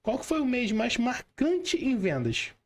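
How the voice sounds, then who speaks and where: A man's voice: extremely high pitch, wildly swooping pitch, measured pace. A Brazilian man hosting a podcast, talking casually and adding frequent tag questions. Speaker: podcast host. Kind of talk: casual interviewing and channel promotion.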